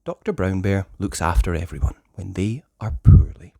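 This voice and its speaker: A storyteller reading a children's picture book aloud with expressive, measured scripted narration. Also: low voice, vocal fry, no echo, studio quality.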